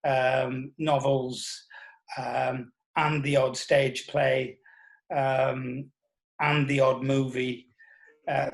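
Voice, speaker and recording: British guy in his 60s chatting in an interview, voice a bit on the high side. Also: low-quality recording. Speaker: interview guest, former television producer and journalist. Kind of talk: reminiscing in an interview.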